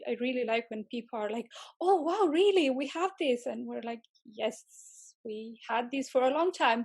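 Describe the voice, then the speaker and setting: High-voiced woman. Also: a little mellow, no room sound. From Romania. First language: Romanian. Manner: hesitant, searching for words, enthusiastic. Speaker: interview guest, tech manager. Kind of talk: casual interview answers.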